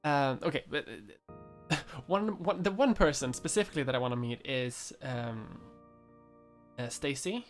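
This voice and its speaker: A man in his twenties talks casually to his viewers, stumbling through restarts and fillers. His pitch sits in an everyday middle range. A little music plays faintly behind him. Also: expressive, normal speed. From Europe. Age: 20s. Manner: rambling, many fillers and restarts, laughs often. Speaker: YouTuber. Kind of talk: casual livestream chat.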